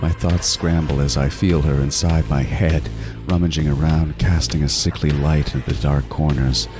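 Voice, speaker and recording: A man's voice: a bass voice, steady tone, normal speed, over music. An actor playing a trapped male serial killer in a horror audio drama, obsessive and desperate, moving between intense narration and pleading. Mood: grim, haunted. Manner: intense, swinging from murmured narration to frantic pleading.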